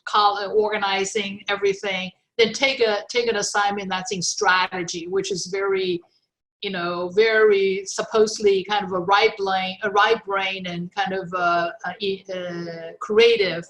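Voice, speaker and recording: A woman's voice: mid-range voice, unhurried, a bit bright, low-quality recording. Chinese-native woman telling a story. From China. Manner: thoughtful, many fillers and restarts. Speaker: guest. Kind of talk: interview, personal story.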